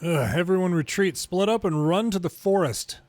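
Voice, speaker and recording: A man's voice: high voice, expressive, normal speed, darkish tone, dry recording, voice pushed up. A man voicing an earnest, commanding young squad leader in a war game, with music behind. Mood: urgent.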